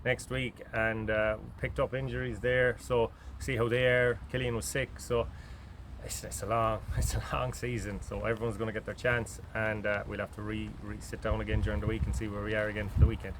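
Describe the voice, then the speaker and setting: A man's voice: fairly low pitch, little pitch movement, brisk. A man with an Irish accent, a football manager giving a chatty post-match interview outdoors, often saying "listen" and "delighted". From Ireland. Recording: noisy outdoor recording.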